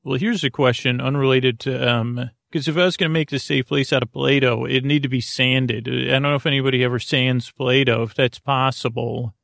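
A man hosting a bedtime podcast with a creaky voice, rambling in a lulling, hesitant, meandering way that keeps drifting into tangents. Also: mid-range voice, steady tone, fairly quick, hardly any pauses, fairly bright tone, dry recording, studio quality. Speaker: podcast host. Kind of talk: rambling bedtime monologue for sleep.

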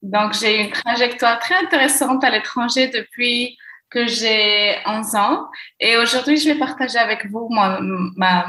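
Bright-voiced Moroccan woman, echoey room. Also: medium pitch. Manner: searching for words, frequent fillers and restarts. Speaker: podcast guest, gender equality consultant. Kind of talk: casual interview answers.

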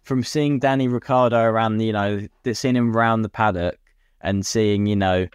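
A man's voice: on the low side, fairly even intonation, moderate pace, clean tone, no echo, clean studio sound. A man co-hosting a podcast, chatting casually and matily about sport and offering his opinions. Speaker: podcast co-host.

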